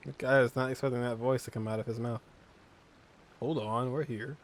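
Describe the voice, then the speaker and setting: A man's voice: mid-range voice, fairly even intonation, a little fast. A man giving casual reaction commentary as he plays a video game. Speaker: gamer, streamer.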